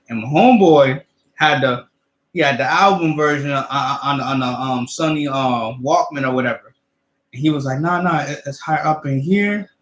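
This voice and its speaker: A man's voice: medium pitch, fairly bright tone, echoey room. A young man in his twenties, co-hosting a casual chat, rambling with many stammered restarts. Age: 20s. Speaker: co-host.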